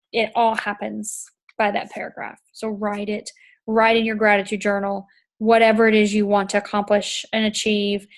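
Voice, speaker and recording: A woman hosting a chat show, reading from a book and explaining it in an enthusiastic, emphatic way that repeats points, with a tickle in her throat. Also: medium pitch. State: throat tickle, occasional coughs. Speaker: podcast host.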